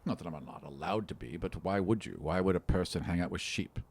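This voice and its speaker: Man playing a haughty, low-voiced demigod. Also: a little fast, darkish tone. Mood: disdainful. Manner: pompous, condescending. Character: haughty male demigod looking down on mortals.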